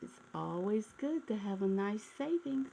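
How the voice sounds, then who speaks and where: A woman hosting story time, reading a picture book expressively, with dramatic pauses and asides to the children. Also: mid-range voice, vocal fry, slight background noise, low-quality recording. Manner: animated, asks listeners questions, dramatic pauses.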